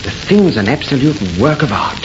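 An actor playing a genteel, excitable gentleman friend with old-fashioned politeness in old-time radio horror. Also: a little high, moderate pace, over music. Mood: curious, admiring. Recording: noisy, dull, echoey vintage radio recording.

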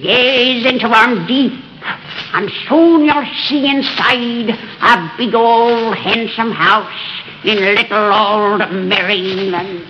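Ancient cackling witch, very slow. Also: on the high side, lo-fi sound. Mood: eerie, relishing. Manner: folksy, drawn-out storytelling with cackles. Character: ancient cackling witch, 116 years old, gleefully macabre. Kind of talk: 1930s radio horror acting. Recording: noisy old 1930s radio recording.

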